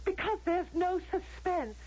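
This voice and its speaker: An actress playing an anguished, restless young rebel in a radio drama, halting and repeating words with impassioned feeling. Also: female voice, very high-pitched, expressive, a little mellow. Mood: anguished, searching. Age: young adult. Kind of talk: old-time radio drama acting. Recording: dull lo-fi radio drama recording.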